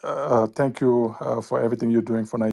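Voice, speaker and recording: Husky, halting man with a flat delivery. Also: medium pitch. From West Africa, Senegal or Mali. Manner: many "uh" pauses and restarts. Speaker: audience member asking a question. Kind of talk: hesitant spoken question.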